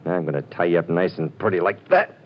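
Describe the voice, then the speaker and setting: A cocky, menacing hoodlum from an old radio crime drama, voiced by a man, sneering in a low voice. His talk is tough and curt. The sound is dull and lo-fi, like an old broadcast. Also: fast talker, a little hiss or hum, lightly acted. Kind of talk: 1940s radio acting.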